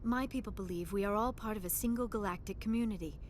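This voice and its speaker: Woman playing a bookish young alien scientist lady, awkward n earnest, very proper speech, faint rumble behind her. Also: fast talker, close to an everyday voice. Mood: calm explaining. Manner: formal, precise diction with few contractions. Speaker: archaeologist crew member. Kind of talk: video game voice acting.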